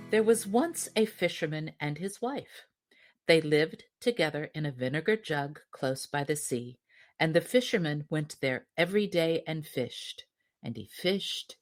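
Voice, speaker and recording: Slow, expressive woman storyteller. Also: low-pitched. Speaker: story reader. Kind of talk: scripted fairy tale narration.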